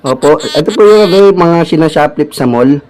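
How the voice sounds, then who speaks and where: A man's voice: a little high, animated, a little fast, darkish tone. A Filipino man on a casual prank call, posing as a delivery rider with polite, hesitant patter. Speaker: prank-calling vlogger. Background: music.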